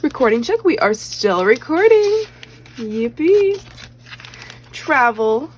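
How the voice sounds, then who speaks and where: A woman's voice: high-pitched, big pitch swings, slow pace, a little hiss or hum. A woman chatting casually as she stuffs cash into budget envelopes. She rambles with lots of fillers, counts bills aloud and is slightly losing her voice. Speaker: budgeting vlogger.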